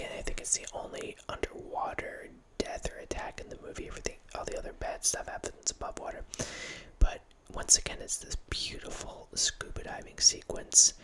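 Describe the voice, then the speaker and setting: A man in his thirties whispering a relaxed ASMR ramble as host, close to a studio mic in a small home room, with frequent restarts. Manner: whispering throughout, relaxed film-nerd musing with frequent restarts. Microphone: studio mic close up, headphones on.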